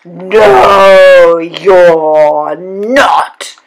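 Storybook bridge troll, played by a woman: big male bully, growling and roaring, very slow, menacing delivery. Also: on the low side, poor audio. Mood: roaring, threatening. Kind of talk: children's storytelling.

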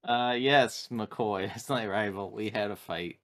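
Slow-talking, low-voiced man. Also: dry recording. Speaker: tabletop game player. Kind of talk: casual game chat.

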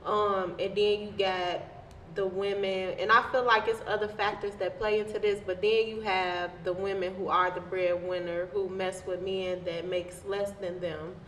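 A woman's voice: medium pitch, flat delivery, normal speed, a bit bright. A woman co-hosting a casual podcast discussion, arguing her opinions emphatically. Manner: emphatic, argumentative. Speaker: podcast host. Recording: echoey room with background noise.

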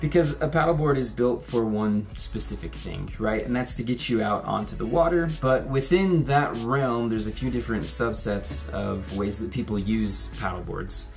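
A man in his thirties talks through a gear review in a measured, explanatory way, his voice sitting a little low. He is recorded indoors at desk distance, with soft music faint beneath him. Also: vocal fry. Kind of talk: product review talk to camera. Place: indoor room with curtains and a plant.

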